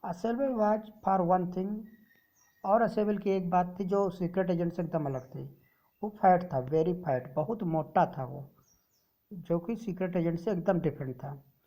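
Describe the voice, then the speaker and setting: A woman teacher explaining a textbook story to students, reading English lines slowly and then explaining them in Hindi. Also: lowish voice, dark tone, dull audio.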